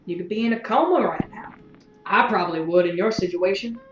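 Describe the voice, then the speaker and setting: A dull, roomy recording with music behind carries a sardonic female gunslinger played by a woman. She needles with wry rhetorical questions, a sharp-tongued pilot from a science fiction audio drama. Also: medium pitch, fairly quick, lightly acted. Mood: dry, wary.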